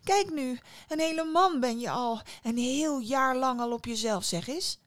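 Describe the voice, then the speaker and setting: Woman acting a high, overwrought, dramatic mother. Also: unhurried. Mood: gushing remorse. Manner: high-pitched, exclaiming, overwrought. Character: volatile, dramatic, hot-tempered mother of a boy.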